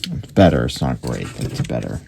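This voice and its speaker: A man with a very low, gravelly voice talks through a repair at his workbench in a loose, thinking-aloud way, full of small fillers. The recording carries a clear layer of workshop noise behind him. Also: very animated, brisk, darkish tone. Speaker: radio repair host. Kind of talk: casual workbench narration.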